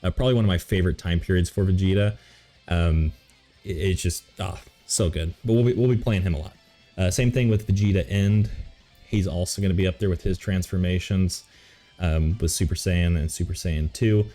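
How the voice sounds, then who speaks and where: A deep-voiced man with a warm, mellow tone chats loosely about games, full of ums and restarts. He is coming down with a cold and sniffles a little. Faint game music plays underneath. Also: fairly quick. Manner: rambling, relaxed, many ums and self-corrections. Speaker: gaming video host. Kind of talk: casual commentary ranking game characters.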